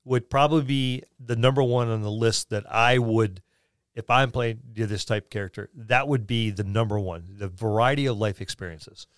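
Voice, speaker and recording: A man's voice: lowish voice, normal speed, no room sound. A man co-hosting a podcast, talking casually and conversationally about tabletop roleplaying. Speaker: podcast co-host.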